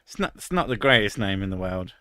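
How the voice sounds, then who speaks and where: A man's voice: fairly low pitch, big pitch swings, fast talker, sharp tone, clear, resonant tone, dry recording, clean studio sound. A British man in his thirties chatting casually on a stream, crude and sarcastic, swearing freely. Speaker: streamer reading chat. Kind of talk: casual live stream chat.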